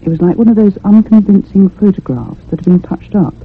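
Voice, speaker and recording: Woman playing a very husky, uneasy storyteller. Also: medium pitch, lively intonation. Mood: brooding, measured. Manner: literary, measured storytelling. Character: anxious, restless woman recounting her haunting. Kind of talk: dramatic first-person radio narration. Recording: tinny, band-limited old radio sound.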